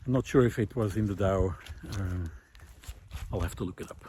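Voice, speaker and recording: A man's voice: fairly low pitch, dark tone, a little hiss or hum. A man vlogging outdoors as he walks, giving a reflective, unscripted monologue in a hesitant, musing way with trailing asides. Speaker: vlogger hosting a walking talk series. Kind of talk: reflective unscripted monologue to camera while walking. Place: outdoors, walking through a village among vineyards.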